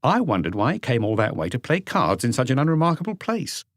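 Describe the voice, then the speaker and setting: An eager police inspector played by a male audiobook reader reports dutifully to his chief. His voice sits fairly high for this reader and moves through details earnestly. The recording is dry and free of room sound. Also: sing-song, fast talker, no echo, natural voice. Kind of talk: audiobook character voice.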